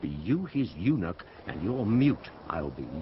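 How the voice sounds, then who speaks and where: Low-voiced, animated sea captain. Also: male voice, measured pace, slight background noise. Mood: frank, helpful. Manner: reassuring, storytelling. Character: steady, loyal sea captain, kindly and frank. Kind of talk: radio Shakespeare drama acting. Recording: muffled, loud outdoor ambience behind.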